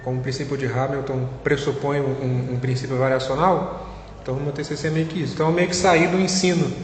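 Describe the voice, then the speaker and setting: A man's voice: mid-range voice, fairly even intonation, fast. A young man with a Carioca accent, a physics student being interviewed, talking casually with many fillers and restarts. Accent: Carioca Brazilian Portuguese. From Rio de Janeiro, Brazil. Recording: echoey room with long reverb, faint noise.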